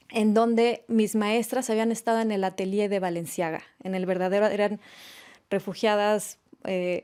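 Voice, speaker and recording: Mexican woman in her late forties, interview guest. Mid-pitched, chatty storyteller. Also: clear, resonant tone. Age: late 40s. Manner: warm storytelling, frequent tag questions. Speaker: fashion designer guest. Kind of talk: conversational interview answers. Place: radio studio.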